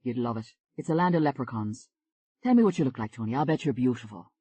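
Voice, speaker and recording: A woman voicing a friendly, flattering young bartender from Ireland. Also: rumbling low, quick pace, slightly rough, no room sound, full character voice. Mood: charming.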